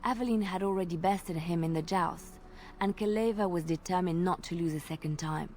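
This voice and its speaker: A woman voicing a graceful female bard in a video game, telling tales in a measured, lilting way. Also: on the low side, darkish tone, a little hiss or hum, lightly acted. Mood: storytelling. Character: graceful female bard, gentle devout storyteller. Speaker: game character. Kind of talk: voiced game dialogue.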